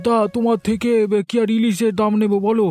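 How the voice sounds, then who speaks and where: A man plays a cheerful village fisherman in a cartoon tale. His voice sits high, and he talks in a homely, eager, chatty way over faint music. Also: level tone, fairly quick, mellow, close to an everyday voice. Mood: eager, friendly. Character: cheerful young married fisherman selling hilsa at market. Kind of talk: Bengali cartoon story dialogue.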